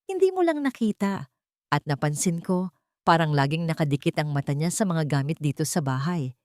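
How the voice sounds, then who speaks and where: Woman playing an insinuating, animated lady of the house. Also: sits low, moderate pace, dry recording, clean studio sound. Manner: hinting, falsely concerned. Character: insinuating, suspicious wealthy woman in a romantic drama. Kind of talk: audio storybook dialogue.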